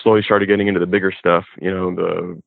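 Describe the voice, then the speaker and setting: A young man with a Midwestern American accent, talking in a relaxed, easygoing way with lots of ums as a podcast guest, originally from Kuwait. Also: low voice, fast, vocal fry, dry recording. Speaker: off-road club organizer, guest. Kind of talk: casual interview chat. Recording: thin phone-like remote line.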